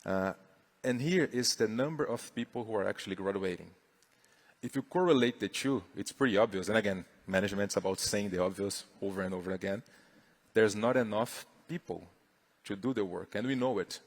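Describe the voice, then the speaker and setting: A man's voice: fairly low pitch, a little fast. A middle-aged Brazilian man with a Brazilian Portuguese accent, giving a chatty, upbeat conference talk on stage. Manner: upbeat, chatty, filler-heavy. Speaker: conference speaker.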